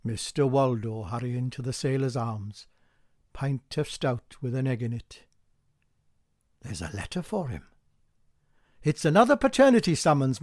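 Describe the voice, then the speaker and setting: A man narrating a poetic radio play in an expressive storytelling manner. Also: mid-range voice, dry recording, studio quality. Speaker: amateur reader and narrator. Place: dry home recording.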